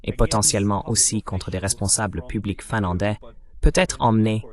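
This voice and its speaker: A man's voice: lowish voice, brisk, darkish tone. A man hosting an interview who asks probing, clarifying questions, voiced over in French. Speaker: interviewer, host.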